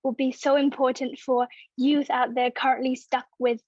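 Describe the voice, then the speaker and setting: Young woman moderating, high flat voice. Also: moderate pace, no room sound, muffled recording. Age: young adult. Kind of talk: online panel moderation.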